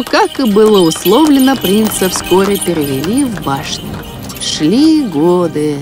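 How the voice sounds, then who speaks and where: High-pitched, expressive woman storyteller. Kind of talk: scripted fairy-tale narration for a children's cartoon. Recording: music behind the voice. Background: music.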